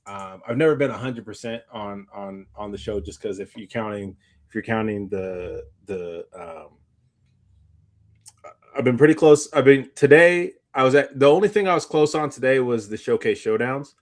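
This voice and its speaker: A man's voice: medium pitch, normal speed. A tired man hosting a podcast, chatting casually in a rambling way and often backtracking mid-sentence. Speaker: podcast host. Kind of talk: casual chat.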